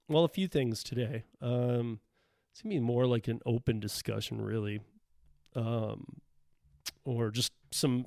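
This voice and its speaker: A man hosting a solo podcast, slightly hoarse from losing his voice, chatting casually with lots of fillers and restarts. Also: medium pitch, darkish tone, no room sound, clean studio sound. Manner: rambling, many fillers and restarts. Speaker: podcast host. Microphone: podcast microphone.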